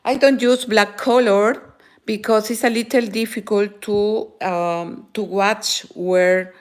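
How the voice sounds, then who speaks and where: Slow, halting woman with a Peruvian Spanish accent. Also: mid-range voice, steady tone. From Peru. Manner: halting, searching for words, frequent "eh" fillers. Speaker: crochet teacher. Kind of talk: live crochet tutorial.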